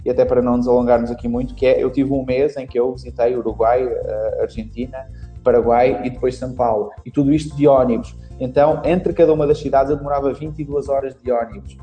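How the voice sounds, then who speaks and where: A man's voice: mid-range voice, fairly even intonation, quick pace, mellow, soft background music. A young adult man with a European Portuguese accent, a guest on a casual podcast interview telling his story at length. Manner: long rambling storytelling. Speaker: guest, marketing instructor.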